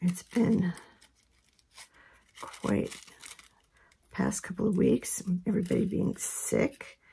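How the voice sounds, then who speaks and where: A woman with a hoarse voice from a head cold, giving a relaxed, chatty craft tutorial at her work table. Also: fairly low pitch, slow pace, a little hiss or hum. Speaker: craft instructor. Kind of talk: casual step-by-step tutorial.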